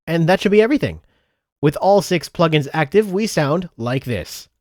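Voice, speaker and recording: A man narrating a software tutorial step by step in a methodical, explanatory way. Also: fairly high pitch, sing-song, normal speed, noisy background. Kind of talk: step-by-step instructional voice-over.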